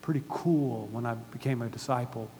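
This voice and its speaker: A man's voice: mid-range voice, moderate pace, warm tone. A man in his fifties from the United States preaching a sermon, emphatic and putting questions to the audience. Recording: hall reverb with faint hum.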